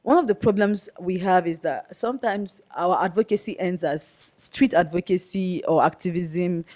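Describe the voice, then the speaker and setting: A young woman with a Nigerian accent speaking earnestly as an interview guest, with a loud, outspoken way of talking. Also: on the low side, moderate pace. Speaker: guest, activist. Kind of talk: conversational interview.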